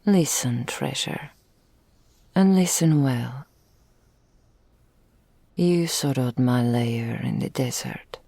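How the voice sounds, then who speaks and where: Woman doing a super slow gravelly dragon lover, regal n possessive, whispery asmr roleplay. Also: extremely low pitch, mellow, dry recording, full character voice. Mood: commanding, ominous. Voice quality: breathy, often whispered, creaky. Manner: slow, formal archaic phrasing, regal and doting. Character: possessive, adoring female dragon in human form, regal lover. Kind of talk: intimate ASMR roleplay, scripted character acting. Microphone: close studio mic, dry.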